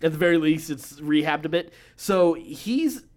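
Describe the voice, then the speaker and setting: A man's voice: fairly high pitch, lively intonation, normal speed. A man co-hosting a podcast, casually going over pop culture news and opinions. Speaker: podcast co-host.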